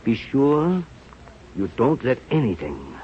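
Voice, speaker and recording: An actor playing an aging, earnest scientist mentor with a halting, solemn delivery in a radio drama. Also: male voice, mid-range voice, wildly swooping pitch. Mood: solemn, fatherly. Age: older. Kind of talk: 1930s radio drama acting. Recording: muffled, noisy old radio recording.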